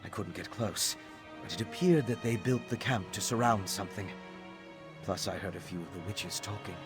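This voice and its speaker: A man's voice: lowish voice, moderate pace, music in the background, lightly acted. A man playing an earnest, inquisitive scholarly ally in a fantasy video game, laying out quest details. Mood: intent, urgent.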